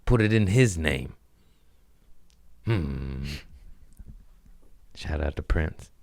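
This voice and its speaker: Deep-voiced man in loose profane banter. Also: expressive, very slow, creaky, darkish tone, dry recording. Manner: loose, profane, jokey rambling. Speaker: podcast host, comedian. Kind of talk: casual chat.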